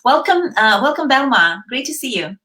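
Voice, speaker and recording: A woman's voice: sits high, sing-song, moderate pace, pushed and bright. A woman hosting a casual live-stream interview, enthusiastic and rambling through her questions with many fillers. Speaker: host.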